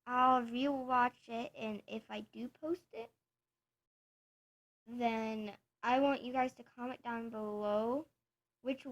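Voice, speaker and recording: A woman's voice: on the high side, highs cut off. A woman vlogger chatting casually to her viewers, rambling and correcting herself as she explains things. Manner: rambling, self-correcting, soft-spoken. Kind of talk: casual chat to camera.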